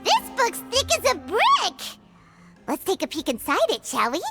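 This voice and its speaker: A woman voicing a tiny, excitable female fairy companion in a video game, with a shrill, bubbly way of exclaiming. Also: normal speed, exaggerated voice. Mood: excited. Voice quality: shrill, squeaky. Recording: loud game music behind.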